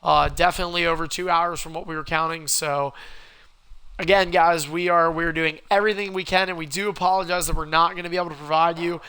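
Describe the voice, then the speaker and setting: Hoarse high-voiced male stream commentator. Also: steady tone, fast talker, sharp tone, rough recording. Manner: wrestling-announcer calls, rising and punchy. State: hoarse from a cold. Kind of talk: livestream announcements and play-by-play commentary.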